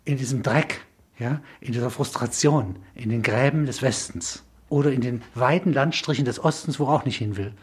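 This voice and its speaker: Husky-voiced man explaining ideas. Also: mid-range voice. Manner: discursive, halting emphatic phrasing with tag questions. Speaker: interview guest explaining a film concept. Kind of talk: spontaneous intellectual discussion.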